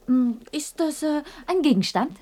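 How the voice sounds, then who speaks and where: An actress playing an affectionate young wife in a radio play, playful, eager and curious. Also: female voice, high voice, expressive, normal speed, dark tone. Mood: curious, excited. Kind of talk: 1960s German radio play acting.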